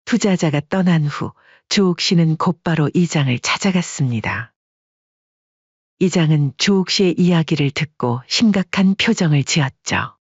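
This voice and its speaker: Story narrator, male, husky voice, mid pitch, dry close sound. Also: normal speed, dry recording. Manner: steady storytelling. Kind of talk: scripted audiobook narration. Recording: dry, dull lo-fi recording.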